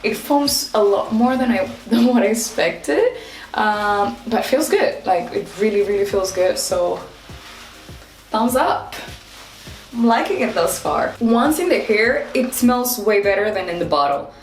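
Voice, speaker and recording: A woman's voice: medium pitch, animated, measured pace, soft background music, low-quality recording. A young woman with a Dominican accent, a native Spanish speaker, chatting upbeat in a casual hair product review vlog. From Dominican Republic. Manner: chatty and upbeat, laughs often, says "like" a lot. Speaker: hair care vlogger. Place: home bathroom and shower.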